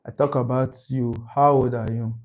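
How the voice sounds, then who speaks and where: A man in his twenties hosting a live stream, thanking viewers and repeating shout-outs to the chat. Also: mid-range voice, little pitch movement, normal speed, highs cut off. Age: 20s. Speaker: livestream host.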